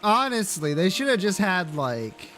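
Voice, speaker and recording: A man's voice: high-pitched, very animated, measured pace, sharp tone, faint music behind. A man livestreaming a game, chatting casually and bluntly with viewers in slangy, sweary, playfully opinionated talk. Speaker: livestreamer playing a video game. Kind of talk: casual gaming chat, reacting to viewers.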